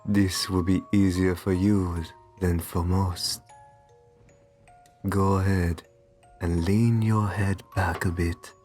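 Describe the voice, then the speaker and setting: Man playing a low, slow, gentle gentleman vampire. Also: level tone, faint music behind. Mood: soothing, hungry. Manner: gentle, reassuring, genteel endearments. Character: kind, doting aristocratic male vampire, new owner. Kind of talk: ASMR roleplay, scripted intimate monologue.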